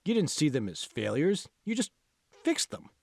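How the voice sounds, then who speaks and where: A man's voice: fairly high pitch, very animated, normal speed, gravelly, a little mellow, no room sound, clean studio sound, exaggerated voice. A man voicing a confident, encouraging college friend in an audiobook, prodding and persuasive. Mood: insistent encouragement. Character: confident, encouraging young male college friend, insistent coach. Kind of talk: audiobook character dialogue.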